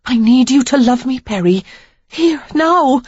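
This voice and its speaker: A woman narrator voicing an ardent, defiant young woman in an audiobook, speaking high and emphatically. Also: normal speed, mellow, breathy edge, no room sound. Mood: pleading, passionate. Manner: pleading then fiery, high and emphatic. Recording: slightly dull lo-fi recording.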